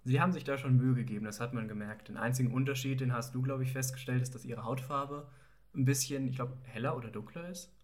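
A man's voice: medium pitch, fairly even intonation, fast talker. A man co-hosting a podcast, chatting casually about a TV episode and explaining background with filler words. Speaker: podcast co-host.

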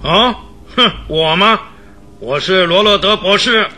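Villain role: brutish man near sixty, bellowing and threatening, high forceful sharp voice, radio drama. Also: unhurried, full character voice. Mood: angry, demanding. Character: huge, brutish, hot-tempered doctor near sixty.

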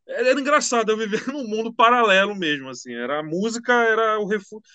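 Chatty high-voiced man. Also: brisk. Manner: relaxed, slangy, chatty. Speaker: musician guest. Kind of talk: casual interview storytelling.

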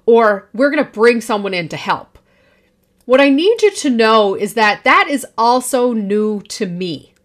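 Woman podcast host, forties, confident projecting voice, emphatic, fairly high pitch. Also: animated, measured pace. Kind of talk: solo podcast monologue.

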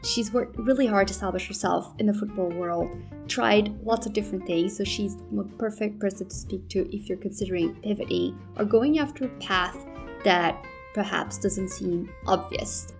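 Woman hosting a podcast, friendly and measured, faint music behind, remote call. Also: mid-range voice. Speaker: podcast host. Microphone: remote call recording.

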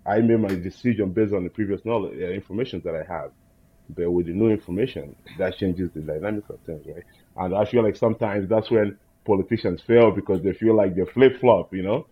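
A man's voice: fairly low pitch, breathy edge, dull audio. A man speaking earnestly and reflectively in a casual roundtable talk, often checking in with "right?". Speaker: roundtable guest.

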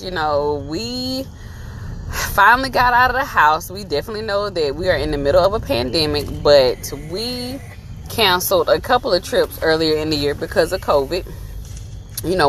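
Woman in her 30s, laid-back vlog chatter from the car, kinda low voice, laughs a lot. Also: a bit bright. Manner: chatty, laughs often, Southern-style casual speech. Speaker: vlogger. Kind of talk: casual travel vlog chat. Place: in a moving car.

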